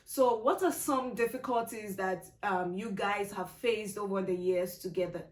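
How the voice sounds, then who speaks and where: A woman hosting an online interview, greeting guests and asking measured questions. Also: mid-range voice. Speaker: interview host. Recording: echoey room with faint music.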